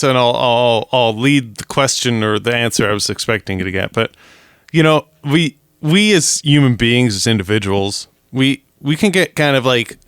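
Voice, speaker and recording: A man's voice: medium pitch, fairly bright tone, clear, resonant tone, dry recording, clean studio sound. A man co-hosting a casual podcast, meandering through his points with lots of restarts and laughs. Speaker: podcast co-host. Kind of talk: casual chat.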